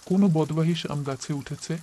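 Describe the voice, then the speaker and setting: A man's voice: on the high side, steady tone, moderate pace, mellow, slight background noise, lightly acted. A man voicing a bad-tempered old village woman in a cartoon. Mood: angry.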